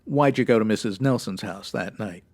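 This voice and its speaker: A man's voice: on the low side, moderate pace, darkish tone. A man playing a no-nonsense 1950s police sergeant in a radio drama, clipped and matter-of-fact. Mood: businesslike.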